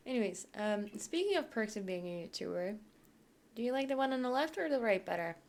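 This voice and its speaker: A young woman from Poland, chatting casually as a YouTuber while she builds in a game, rambling and thinking aloud. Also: fairly high pitch.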